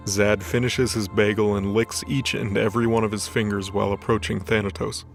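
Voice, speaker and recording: A man's voice: on the low side, little pitch movement, fairly quick, creaky. A man reading scripted fiction as the narrator of a story. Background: background music.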